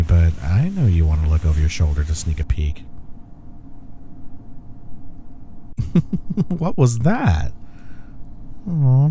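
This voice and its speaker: A man's voice: on the low side, warm tone, a little hiss or hum. A young man acting as a smug, teasing office rival in an intimate roleplay audio. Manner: teasing, playful banter. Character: teasing, smug young male office rival softening toward his coworker.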